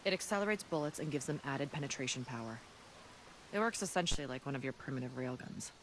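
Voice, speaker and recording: An actor playing an earnest, battle-hardened resistance fighter from a ruined future in a video game cutscene, solemn and deliberate. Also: medium pitch, expressive, a bit bright, a little hiss or hum, rough recording. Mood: assured, explaining.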